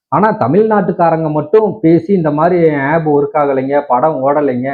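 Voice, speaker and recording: Phone-like, indignant man from Tamil Nadu. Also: a little high. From Tamil Nadu, India. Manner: indignant, argumentative, rhetorical questions. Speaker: tech video creator. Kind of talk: spoken complaint to viewers.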